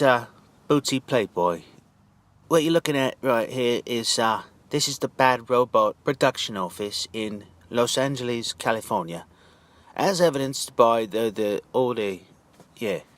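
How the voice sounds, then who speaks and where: A man giving a casual, halting monologue as a video narrator, drawling words for sardonic emphasis. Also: mid-range voice, fairly bright tone. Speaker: video narrator and amateur reader.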